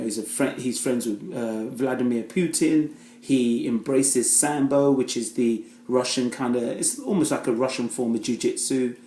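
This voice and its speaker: A man's voice: mid-range voice, darkish tone, echoey room, slight background noise. A British-born man giving a casual, rambling spoken review, full of fillers and restarts. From Britain. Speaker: film reviewer.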